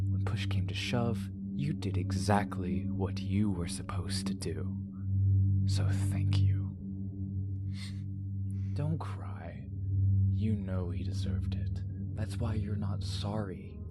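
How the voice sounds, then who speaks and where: An actor playing a smug, manipulative villain in an audio drama, breathy and teasing, often dropping into a menacing whisper with mock politeness. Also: male voice, deep voice. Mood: taunting, manipulative.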